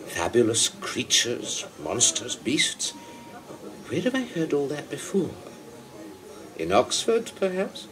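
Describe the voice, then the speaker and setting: Lively theatrical king. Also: medium pitch, takes it slowly, a crowd in the background, poor audio. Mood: probing, insistent. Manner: theatrical, condescending, patronising. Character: genial, loquacious, commanding king of England. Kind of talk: broadcast radio play acting.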